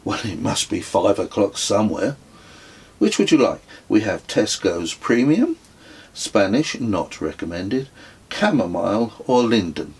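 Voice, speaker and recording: A man's voice: lowish voice, very animated, unhurried, breathy edge, exaggerated voice. A man voicing a playful English father in a storybook, politely mock-solemn and theatrical.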